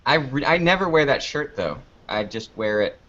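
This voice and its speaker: A man's voice: medium pitch, animated, normal speed, bright, forceful tone, dull audio, slight background noise. A man being interviewed about the videos he makes, chatting casually in a rambling, jokey, self-deprecating way. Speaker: interview guest, online video maker. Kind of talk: casual unscripted interview chat.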